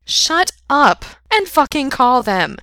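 A woman's voice: high-pitched, expressive, a little fast, fairly bright tone, no echo, clean studio sound, voice pushed up. A woman voicing a blunt, pushy young woman in an audiobook, speaking emphatically and with exasperation. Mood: exasperated, pushy.

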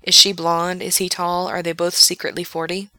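A woman's voice: lowish voice, little pitch movement, moderate pace, bright, forceful tone, dry recording. Woman as an awkward young comic geek guy. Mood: awkward, low-key. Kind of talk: audiobook character dialogue.

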